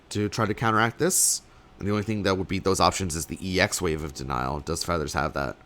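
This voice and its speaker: Low-voiced male caster losing his voice. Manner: rambling, hedging, many fillers, bursts of excitement. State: losing his voice, a little sick. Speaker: tournament caster. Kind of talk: solo play-by-play commentary.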